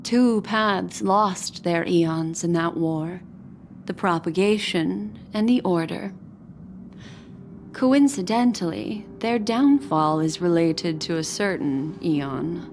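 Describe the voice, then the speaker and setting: A woman voicing a composed, knowledgeable video game character who speaks in a measured, articulate, grave way. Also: on the low side, darkish tone, slight background noise. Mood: serious, explanatory. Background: game soundtrack music.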